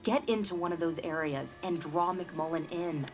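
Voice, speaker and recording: An actress playing a capable scientist, giving urgent, businesslike instructions in a game cutscene. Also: female voice, fairly low pitch, moderate pace, soft background music, natural voice. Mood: urgent, purposeful. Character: urgent, capable woman scientist guiding a fugitive. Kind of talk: dramatic game cutscene briefing.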